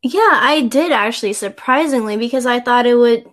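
A woman's voice: fairly high pitch, moderate pace, a bit bright. A woman from the Philippines in casual conversation, agreeing often and rambling as she reflects on fitting in. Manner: agreeable, frequent backchannels, rambling. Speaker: conversation partner.

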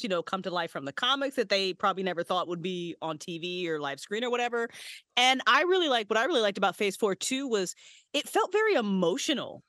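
A woman's voice: medium pitch, a bit bright, dry recording, studio quality. A woman, a writer and voice actress, chatting as a podcast guest in a playful way, laughing a lot and swearing casually.

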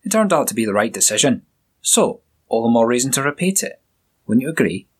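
A man voicing a big, jovial Scottish police sergeant in a one-man audio story, raised and booming above his own voice, with a husky edge. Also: sing-song, a little fast. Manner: booming, jovial, probing questions. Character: burly Scottish police sergeant, hearty and shrewd.

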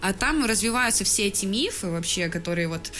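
A woman's voice: mid-range voice, expressive, a little fast, fairly bright tone. A young woman chatting casually as a podcast co-host, laughing often and swearing freely.

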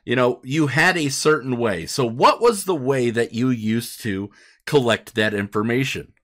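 Guy hosting a casual podcast, slow talker, kinda halting as he works out his questions. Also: medium pitch, fairly bright tone. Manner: halting, rephrases questions as they form. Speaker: podcast host.

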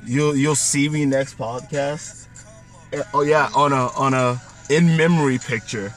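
Hoarse male co-host, rambling and self-mocking, recorded in a car with faint music behind. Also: mid-range voice, steady tone, unhurried. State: losing his voice. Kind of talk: casual podcast chat.

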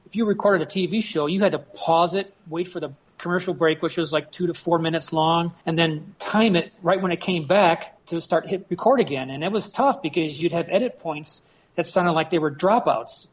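Male podcast guest, fifties, American, lightish higher pitch, informal recollections, lo-fi online call sound. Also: normal speed, hardly any pauses, breathy edge. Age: 50s. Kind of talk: casual reminiscing chat. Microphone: internet voice call. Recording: dull lo-fi internet call.

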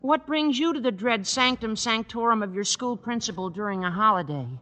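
A man playing an eager, naive teenage high school boy with an overearnest, theatrical manner in a 1940s radio sitcom. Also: fairly quick, sharp tone, big-room sound, highs cut off. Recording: hollow old radio broadcast.

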